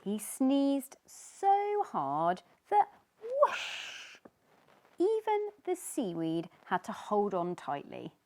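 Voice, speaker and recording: Female children's storyteller, dramatic and highly animated, taking plenty of time. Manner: dramatic, drawn-out delivery. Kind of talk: expressive read-aloud storytelling.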